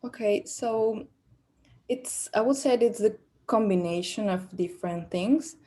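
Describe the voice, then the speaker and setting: A woman's voice: mid-range voice, unhurried, dark tone. A young woman from Peru with a Peruvian Spanish accent, speaking English as a second language, answering questions in a practice job interview with many fillers and restarts. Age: young adult. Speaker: job candidate.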